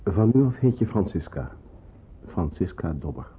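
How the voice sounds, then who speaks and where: Deep-voiced, reassuring resistance helper. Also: male voice, big pitch swings, moderate pace. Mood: reassuring, firm. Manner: reassuring, earnest, firm. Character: calm, kindly but firm wartime resistance helper. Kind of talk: Dutch radio play acting. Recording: noisy narrow-band old radio play recording.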